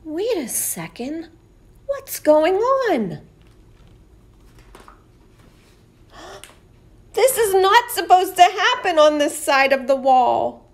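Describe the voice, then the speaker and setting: A woman voicing a small boy knight in a children's picture book read-aloud, with drawn-out, dramatic delivery. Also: extremely high pitch, big pitch swings, pitched above the performer's own voice, exaggerated voice. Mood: alarmed, amazed. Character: small boy knight, smug then alarmed, storybook.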